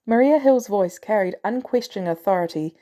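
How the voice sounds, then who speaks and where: A woman's voice: medium pitch, expressive, a little fast, dark tone. A woman reading scripted fiction as an audiobook narrator. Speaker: fan fiction audiobook reader.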